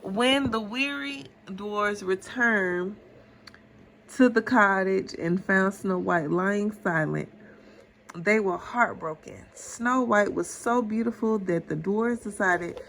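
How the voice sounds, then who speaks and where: A woman's voice: medium pitch, takes it slowly, creaky, fairly bright tone, a little hiss or hum. A mother reading a children's story aloud, with casual asides to her child.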